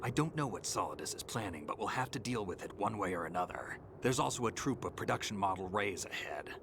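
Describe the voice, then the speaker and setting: An actor playing a terse, stoic male veteran soldier in a game cutscene, speaking in a low, gravelly half-whisper. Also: expressive, quick pace. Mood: steady. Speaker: game character. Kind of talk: video game cutscene voice acting. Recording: game audio with ambient noise.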